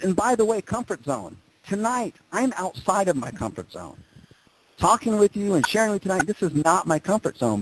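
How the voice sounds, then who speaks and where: A man's voice: fairly high pitch, a little mellow, husky, rough recording. A man giving a motivational presentation, telling stories informally and repeating phrases for emphasis. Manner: informal, conversational storytelling. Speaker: motivational speaker.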